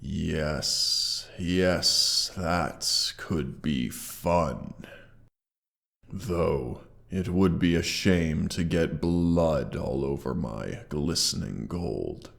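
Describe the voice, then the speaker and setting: A man voicing a proud, menacing wounded dragon in a roleplay audio, with haughty deliberate speech, a gravelly tone and a monster effect on the voice. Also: fairly bright tone, full character voice. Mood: musing, menacing. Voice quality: very deep, gravelly. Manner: slow, deliberate, haughty menace with archaic wording. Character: menacing, proud, hoard-guarding injured male dragon. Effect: processed monster-voice effect. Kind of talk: scripted roleplay audio monologue.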